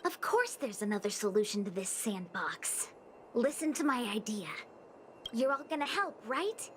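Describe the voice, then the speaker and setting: A woman's voice: on the high side, sing-song, normal speed, a bit bright, slight background noise. A woman voicing a cheeky, easygoing young diviner girl in a video game, casual and confident. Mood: confident, bossy. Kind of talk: anime-style game cutscene acting.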